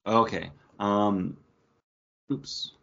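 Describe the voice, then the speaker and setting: A man's voice: fairly low pitch, steady tone, extremely slow, vocal fry, fairly bright tone. An American man chatting casually as a podcast co-host. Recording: dull, lo-fi audio.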